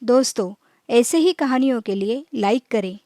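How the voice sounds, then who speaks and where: A woman narrating a scripted children's moral story as a storyteller, in measured, clearly phrased reading. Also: on the high side, animated, dark tone, no echo, studio quality.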